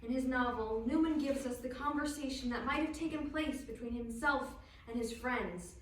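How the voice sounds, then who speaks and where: A woman's voice: high voice, normal speed. A woman narrating from a script, linking the passages of a dramatic reading. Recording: very echoey, distant, faint background noise.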